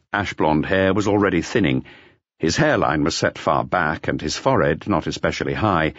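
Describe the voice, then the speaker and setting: A man's voice: deep voice, no echo, lo-fi sound. A man reading a detective novel aloud as a scripted audiobook narration.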